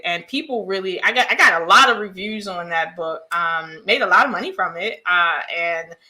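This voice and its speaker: A middle-aged woman talks as a podcast guest, recounting how she became an author in a lively, laughing way. Her voice has a bright, forward ring to it. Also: lowish voice. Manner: animated, laughing, storytelling. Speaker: guest, children's book author. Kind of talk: casual podcast chat.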